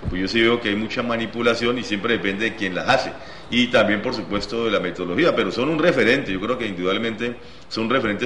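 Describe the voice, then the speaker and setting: A man's voice: medium pitch, a bit bright, echoey room, slight background noise. A man arguing his point insistently on a televised panel debate. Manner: argumentative, insistent listing. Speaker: panel guest, political analyst.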